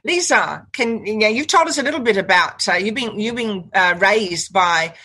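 A woman hosts an interview show, answering her guests with short encouraging remarks and easing into gentle follow-up questions. Her voice is bright and forward at a middle pitch. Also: animated, moderate pace, husky. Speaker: talk show host. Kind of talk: online talk show interview.

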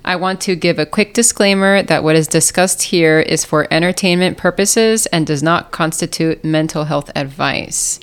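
A woman's voice: deep voice, normal speed, few pauses, clear, resonant tone. A woman co-hosting an interview podcast, a therapist speaking in a friendly, casual way. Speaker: co-host, family therapist.

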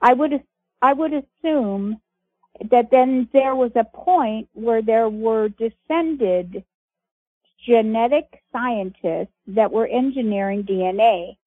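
Slow-reading woman on a phone line. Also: fairly high pitch, slightly rough, no echo. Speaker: reader of archived messages on a conference call. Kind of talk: reading a transcript aloud. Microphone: telephone. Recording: muffled phone-line audio.

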